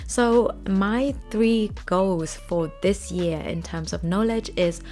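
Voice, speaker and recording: A woman's voice: medium pitch, slow, mellow, faint music behind. A young woman whose first language is Thai, talking earnestly and chattily to camera about her plans, with small slips. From Thailand. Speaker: finance vlogger. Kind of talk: casual talk to camera.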